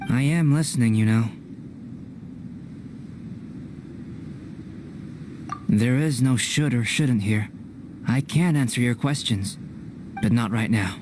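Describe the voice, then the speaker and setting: An actor playing a composed young scholar in an anime-style video game, analytical and detached, with measured, precise, formal speech. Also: male voice, medium pitch, background noise, natural voice. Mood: calm, matter-of-fact.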